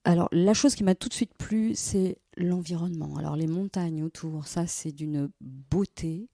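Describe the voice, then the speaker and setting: A woman in her forties from Brussels, a lawyer telling stories as a podcast guest, lively and laughing often. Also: lowish voice, unhurried, dry recording, clean studio sound. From Belgium, Brussels. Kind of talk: casual storytelling interview.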